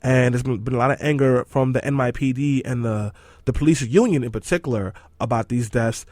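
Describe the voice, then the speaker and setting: Hoarse man hosting a radio show. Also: mid-range voice, fairly quick, darkish tone, dry recording. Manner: teasing, then earnest about news. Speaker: radio host and engineer. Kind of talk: casual radio talk show chat. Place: radio studio. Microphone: studio microphone.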